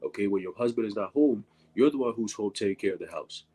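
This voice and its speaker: A man with a stuffy nose from allergies, speaking up in an online scripture class, halting and repeating his words. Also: lowish voice, lively intonation, dark tone, husky. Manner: halting, repeats words, many "you know"s. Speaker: class participant. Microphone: video call.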